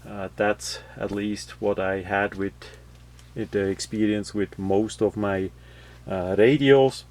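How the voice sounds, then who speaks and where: A man giving a casual, unscripted product walkthrough, explaining things haltingly with many uhs and repeated words. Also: on the low side, fairly even intonation, darkish tone. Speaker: host of product unboxing videos.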